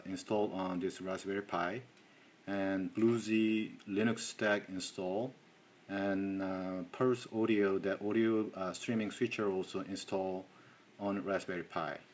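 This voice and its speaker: A man giving an informal hands-on tech tutorial in halting, non-native English. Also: low voice, steady tone. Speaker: tech demonstrator. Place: indoors at a desk.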